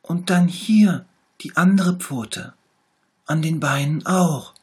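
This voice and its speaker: Man putting on a little tiger cub, high and whimpering, sickly and demanding, husky, storybook voice. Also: slow, a little mellow, exaggerated voice. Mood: whiny, sickly. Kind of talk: storybook reading.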